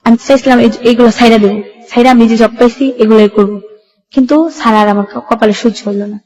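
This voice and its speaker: A woman's voice: a little high, steady tone, fast, darkish tone, rough recording. A young woman with a colloquial Bangladeshi Bengali accent, telling her life story in a plain, run-on way as a radio interview guest.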